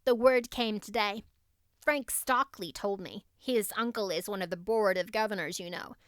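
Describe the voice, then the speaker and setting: A woman's voice: mid-range voice, normal speed, dry recording, clean studio sound, lightly acted. A woman voicing a spiteful, boastful teenage schoolgirl who gushes in slang and offers false pity, in an audiobook story. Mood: gossipy, smug. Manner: gushing, slangy, falsely pitying.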